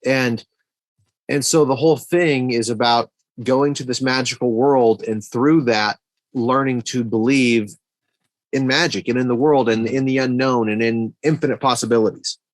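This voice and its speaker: A man's voice: medium pitch, steady tone, normal speed, no room sound. A man in a casual film discussion on a podcast, talking like an enthusiastic fan and thinking aloud as he goes. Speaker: podcast guest or co-host, movie fan.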